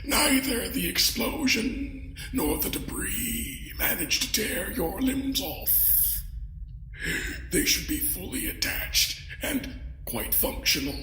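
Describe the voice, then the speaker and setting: An ancient, sinister creature speaks in a high voice that swoops about. It is courteous and cryptic, a captor from an audio drama, heard in an echoing space. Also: male voice, big pitch swings, bright, forceful tone, slight background noise, heavily acted. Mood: calmly menacing. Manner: slow, polite, cryptic.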